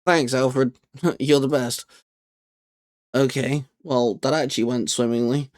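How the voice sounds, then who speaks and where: A man's voice: mid-range voice, fairly even intonation, slow pace. A man hosting casually, talking in an informal, hesitant, improvised way. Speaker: YouTube channel host. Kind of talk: casual, improvised skit and chat.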